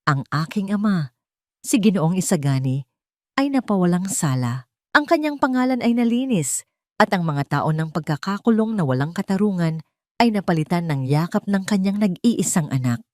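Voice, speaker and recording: A woman's voice: fairly low pitch, sing-song, moderate pace, darkish tone, no room sound, studio quality. A woman with a Filipino accent reading a scripted first-person dramatic story as its narrator.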